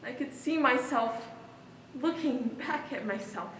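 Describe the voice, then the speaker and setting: A woman's voice: high voice, animated, a little slow, a bit bright. A woman acting a traumatised daughter who recounts a hostage ordeal in a projected, dramatic stage monologue. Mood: haunted, dazed. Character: traumatised daughter recounting being held hostage with her father. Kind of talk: one-act stage play monologue. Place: indoor stage in a large reverberant hall. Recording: distant echoey hall, some background noise.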